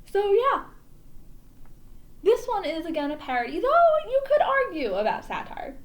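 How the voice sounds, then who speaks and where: Female storytime presenter: notably high register, animated melodic range, casual explanatory asides between read-aloud passages. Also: measured pace.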